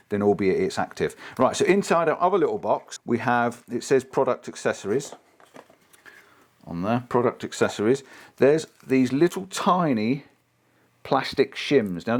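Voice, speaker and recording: A man, chatting casually through an unboxing, upbeat and prone to repeating himself. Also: mid-range voice. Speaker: gear reviewer.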